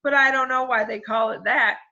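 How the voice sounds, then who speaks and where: A woman voicing a boastful young schoolboy, loud and excitable, on a dull lo-fi recording. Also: on the high side, a little fast. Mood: excited.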